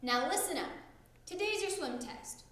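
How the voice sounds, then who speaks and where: A woman's voice: very high-pitched, lively intonation, moderate pace, fairly bright tone, far from the mic, voice pushed up. Woman as an announcing camp director, very echoey. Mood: commanding. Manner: announcing, instructing. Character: authoritative male camp director, announcing to children. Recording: very echoey room.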